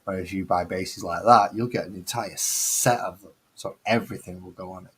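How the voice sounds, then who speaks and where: Husky low-voiced Mancunian man. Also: fairly even intonation, a little fast. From northern England. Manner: chatty, self-mocking, grumbling. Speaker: host. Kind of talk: casual hobby chat.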